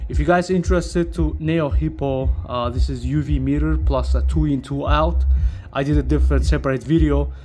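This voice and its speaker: A man who speaks English as a second language gives a casual, unscripted product demo as a video host, talking haltingly and checking in with short tag questions. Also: a little high, fairly even intonation, darkish tone. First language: not English. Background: music playing, amplifier fan.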